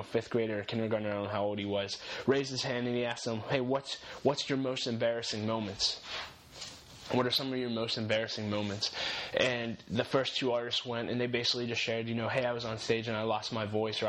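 A man's voice: on the low side, fairly bright tone, a little hiss or hum, rough recording. Earnest young man giving faith-based encouragement. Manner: earnest, encouraging, repeats key phrases for emphasis. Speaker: podcast host.

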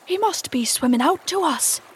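A woman puts on a nervous pirate crewman in a storybook. He is timid and anxious, his loud exclamations pushed up high. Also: lively intonation, moderate pace, a little hiss or hum.

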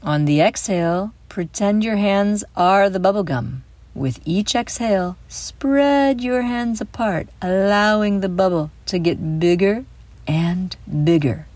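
A woman hosting a guided visualization for children, speaking in a soothing, deliberate way with gentle emphasis. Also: medium pitch, lively intonation, no room sound. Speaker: host and guide of a children's mindfulness audio show. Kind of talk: guided visualization, scripted narration for kids.

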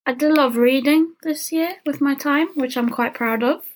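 A young woman at university co-hosting a casual podcast, candid and teasing, with quick asides. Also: sits high, rough recording. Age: young adult. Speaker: podcast co-host. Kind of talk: casual chat.

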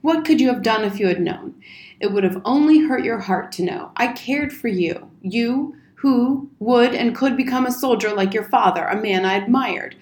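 A woman's voice: fairly high pitch, normal speed, a little mellow, voice pushed up, close to an everyday voice. A woman acts out a blustering old soldier from a storybook. He is pompous and wordy, leaning hard on his words, in a room with noticeable echo. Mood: defensive, pleading. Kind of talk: storybook character reading. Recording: roomy, echoing indoor sound.

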